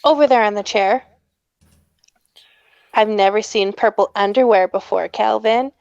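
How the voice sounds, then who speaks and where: A woman playing a smitten, soothing 1950s teenage girl in a script read-through, gentle and coy. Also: medium pitch, measured pace, vocal fry, no room sound, lo-fi sound. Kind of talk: amateur table read of a film script.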